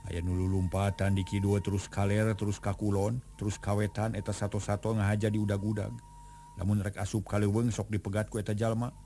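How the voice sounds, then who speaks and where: A man narrating a folk tale in a steady storytelling voice. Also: fairly low pitch, brisk, a little hiss or hum.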